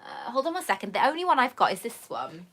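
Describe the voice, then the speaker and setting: Bright-voiced chatty woman with English-Welsh accent. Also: on the high side, huge pitch swings, quick pace, slightly rough. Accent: mixed southern English and Welsh. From England, raised in Wales. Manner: chatty, reads viewer comments aloud, laughs often. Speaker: host. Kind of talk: live Q&A stream.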